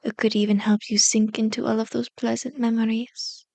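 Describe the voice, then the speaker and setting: A woman playing a seductive, manipulative female vampire in an ASMR roleplay, speaking softly and coaxingly, drifting into breathy whispers close to the microphone. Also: on the high side, level tone. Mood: tender, possessive. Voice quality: soft, breathy whispers mixed with hushed voice. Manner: slow, soothing, coaxing, intimate. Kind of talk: ASMR roleplay, hypnotic soft speech and whispering. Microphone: close microphone, dry.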